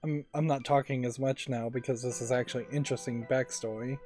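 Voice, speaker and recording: A man with a dark, relaxed voice offers casual let's play commentary. He muses analytically at an ordinary pitch, with faint game music underneath. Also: fairly quick. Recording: voice-over with faint game audio behind. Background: game music and dialogue.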